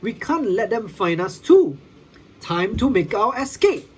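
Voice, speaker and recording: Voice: medium pitch, very animated, unhurried, husky, pitched above the performer's own voice. A reader voicing an icy, boastful male supervillain who taunts and commands in a children's storybook. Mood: scheming.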